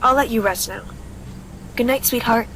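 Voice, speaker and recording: A woman playing a gentle, caring middle-aged mother in an anime audio drama. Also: a little high, normal speed, low-quality recording. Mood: tender. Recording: faint background noise.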